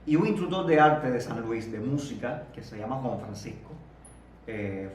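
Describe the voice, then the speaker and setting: Man, 30s, Cuban accent, halting repeated words, distant mic, very echoey room. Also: mid-range voice, slight background noise. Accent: Cuban Spanish. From Cuba. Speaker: interview guest. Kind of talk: reflective interview conversation.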